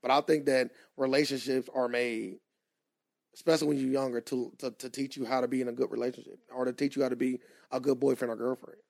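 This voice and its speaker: A man, co-hosting a casual podcast, animated and quick to laugh as he makes his points. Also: mid-range voice, gravelly, dry recording, clean studio sound. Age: 20s. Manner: animated, laughs often, drawn-out emphatic points. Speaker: podcast co-host.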